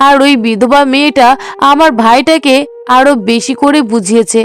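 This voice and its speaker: A high female voice plays a resentful sister in a cartoon drama, acted by a woman narrator. She sounds indignant and judgmental, with a gossipy way of talking. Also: moderate pace, natural voice. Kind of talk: melodramatic cartoon acting.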